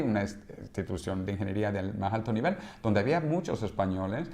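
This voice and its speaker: Lower-voiced man giving emphatic explanations. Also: mellow. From Venezuela. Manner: emphatic, explanatory, piles up examples. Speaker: interview guest, futurist. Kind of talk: conversational interview.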